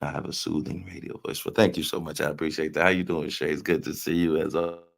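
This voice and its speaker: A man's voice: a bass voice, little pitch movement, quick pace, gravelly. A man hosting a casual livestream, chatting loosely and joking with viewers in the chat. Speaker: livestream host. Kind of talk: casual live-stream commentary, reading chat.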